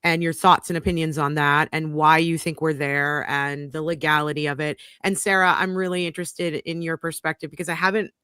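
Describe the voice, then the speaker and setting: A woman's voice: deep voice, flat delivery, a little fast, hardly any pauses, smooth, no echo, studio quality. An American woman hosting a live news talk stream, chatty with frequent ums and restarts, on a home video call. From United States. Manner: chatty, warm greetings, many ums and restarts. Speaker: host of a news show.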